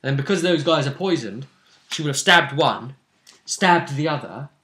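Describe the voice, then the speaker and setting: A man's voice: on the high side, animated, moderate pace, bright, forceful tone. A man acting as game master, casually recapping the story of a tabletop role-playing game.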